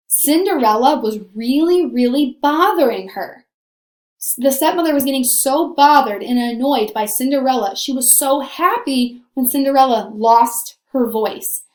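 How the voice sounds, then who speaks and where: Female primary teacher, high voice, conversational read-aloud discussion, repeats key points, questions her pupils. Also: reverberant.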